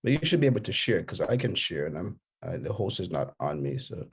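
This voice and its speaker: A man's voice: deep voice, sing-song, a little fast, vocal fry, breathy edge. A man hosting an online church meeting over a video call, apologetic and stumbling as he helps with screen sharing. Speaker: meeting host.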